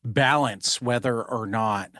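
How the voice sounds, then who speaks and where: A man's voice: medium pitch, slow, bright, forceful tone, dry recording, studio quality. A man hosting a podcast, rambling and joking casually, often laughing. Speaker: podcast host.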